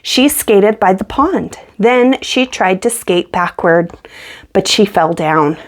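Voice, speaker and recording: A woman's voice: mid-range voice, expressive, slow. A woman reading a children's picture book aloud as a storyteller, acting out the dialogue as she goes.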